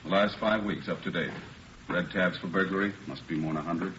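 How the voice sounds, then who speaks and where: An actor playing a brisk, businesslike police captain in a 1940s radio drama. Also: male voice, low voice, fairly bright tone, slight background noise. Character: brisk police captain going over crime map. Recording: muffled old radio broadcast.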